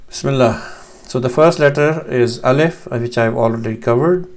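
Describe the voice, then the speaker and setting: A man's voice: medium pitch, moderate pace. A man in his thirties from Pakistan, a native Urdu speaker, teaching Arabic letters over a screen share with many fillers and restarts. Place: small room at home, indoors.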